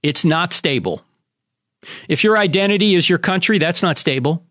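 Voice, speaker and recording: Animated, high-pitched American man hosting radio. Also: moderate pace, no echo, studio quality. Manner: emphatic, preacherly, addresses listeners directly. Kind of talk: radio monologue with rhetorical questions.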